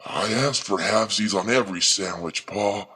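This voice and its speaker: A man's voice: on the low side, normal speed, pushed and bright, husky. A man playing a stubborn, earnest boy who is trying to be a man, in an audio drama.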